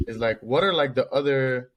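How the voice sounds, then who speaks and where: A man's voice: mid-range voice, normal speed. A man from the Netherlands co-hosting a podcast, telling stories casually with slangy asides. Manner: animated storytelling with slangy asides. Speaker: podcast co-host.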